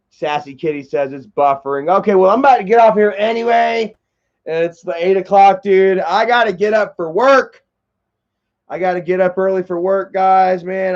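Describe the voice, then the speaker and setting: A man in his thirties telling a rambling, profane story in casual livestream chat, full of fillers and repeats. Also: high voice. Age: 30s. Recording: dull lo-fi stream audio.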